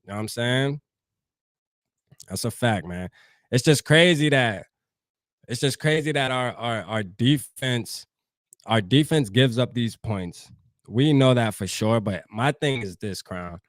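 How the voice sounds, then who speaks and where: Salty, chatty fan-show host. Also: male voice, mid-range voice, animated, measured pace, pauses often, no room sound, clean studio sound. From New Jersey. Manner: loose, profane, talks straight to chat. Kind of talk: casual livestream sports talk.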